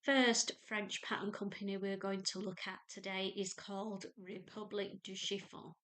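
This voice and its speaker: A woman's voice: medium pitch, flat delivery, fairly bright tone. A woman in her fifties with a North Lancashire accent, chatting casually to her webcam from her home sewing room as a sewing vlogger. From England. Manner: chatty, unhurried, homely. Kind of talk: casual chat to camera and screen walkthrough.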